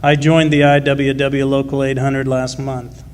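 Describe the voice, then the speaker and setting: A man's voice: medium pitch, little pitch movement, measured pace, darkish tone, natural voice. A man playing an earnest, plainspoken working-class miner and father in a live radio drama. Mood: steady, earnest. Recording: faint noise, live stage recording.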